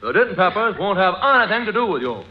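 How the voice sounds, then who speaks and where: An actor playing a stuffy, smug, tattling young rival suitor with a prim, pompous manner in a 1940s radio comedy. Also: male voice, sits high, very animated, fast, lo-fi sound. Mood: self-righteous.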